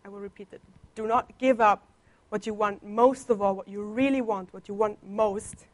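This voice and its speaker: A woman's voice: mid-range voice, fairly even intonation, moderate pace. Young woman giving a church testimony. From Germany. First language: Italian and German. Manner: conversational, playful teasing humor. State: slight cough. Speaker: visiting guest speaker on a church placement. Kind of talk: personal testimony in a church talk.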